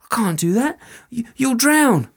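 A man's voice: extremely high pitch, animated, takes it slowly, voice pushed up, heavily acted. An actor playing a frightened soldier who stammers and pleads, tripping over his words. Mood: panicky, pleading. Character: cruel soldier turned panicky, stammering.

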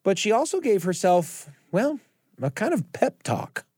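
A man narrating a true story as host, in a scripted storytelling style with dramatic pauses. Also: sits high, very animated, a little mellow, dry recording, studio quality.

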